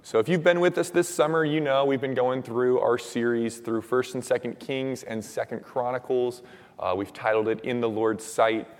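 A young man with a hoarse, raspy voice preaching and praying in casual, earnest words with many fillers. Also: mid-range voice, moderate pace. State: hoarse from shouting at camp. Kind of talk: sermon with prayer. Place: church hall. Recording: long reverb of a church hall.

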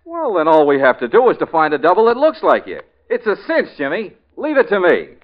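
An actor playing a brash, boastful but soft-hearted male investigator in 1940s radio comedy-drama style. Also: sits high, brisk, faint music behind. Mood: confident. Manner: brash, animated, self-important. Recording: dull old-time radio recording.

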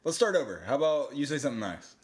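Young man, soft voice, teasing podcast banter, very animated. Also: mid-range voice, rapid-fire. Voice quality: soft voice for his size. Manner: teasing, playful storyteller. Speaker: podcast co-host. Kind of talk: casual riffing chat.

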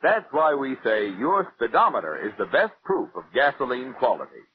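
A man's voice: very animated, normal speed, breathy edge. An American man announcing and reading an advertisement in polished 1940s radio style. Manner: polished sales pitch. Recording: muffled old radio broadcast, hiss.

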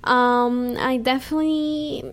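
Young venezuelan woman on a radio show, talks easy and chatty, lots of um's, a bit high. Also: fairly even intonation, measured pace. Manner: chatty, lots of fillers like um and you know. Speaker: guest. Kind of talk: casual radio interview chat.

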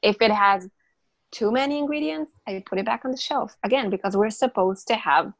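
A woman's voice: medium pitch, brisk, gravelly, no echo. A woman whose first language is not English, explaining nutrition as a health coach, emphatic and repeating herself with frequent restarts and fillers. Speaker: natural health coach, podcast guest. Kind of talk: casual interview explanation.

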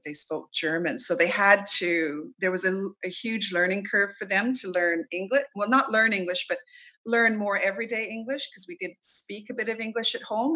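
A woman's voice: mid-range voice, pushed and bright, rough recording. A middle-aged woman from Canada, chatting casually as an interview guest, with frequent ums and easy laughs.

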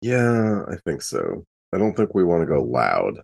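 A man's voice: low voice, huge pitch swings, measured pace, gravelly, a little mellow, slightly rough. A man chatting and bantering casually as a player in a tabletop role-playing game.

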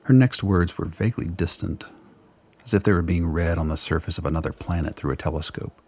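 A man narrating a radio play in a measured, dramatic, literary storytelling style. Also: sits low, breathy edge.

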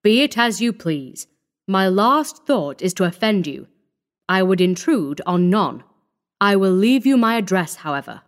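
A woman's voice: medium pitch, very animated, a little slow. A woman reader acts out a wealthy elderly physician from a storybook. He keeps up his dignity while sounding evasive and flustered. He placates with a condescending politeness. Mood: smooth, uneasy.

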